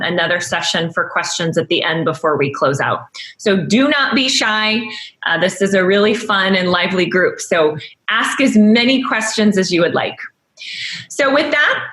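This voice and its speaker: A woman's voice: mid-range voice, a bit bright. A woman opens an online webinar and introduces the moderator in an upbeat, scripted way. Her voice sits in a medium range. The sound has a noticeable room echo. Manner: upbeat, reads from notes. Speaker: webinar host. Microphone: video call. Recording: echoey room.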